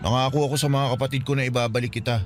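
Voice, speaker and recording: A man's voice: medium pitch, fairly even intonation, very fast, close to an everyday voice. A man playing a hurt teenage son, resentful and pleading, in a radio drama. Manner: pleading, resentful, polite to elders. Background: music.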